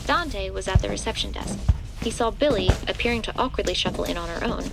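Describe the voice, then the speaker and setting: A woman's voice: mid-range voice, animated, quick pace, creaky. A woman as the composed third-person narrator of a scripted horror audio drama. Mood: matter-of-fact. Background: loud music.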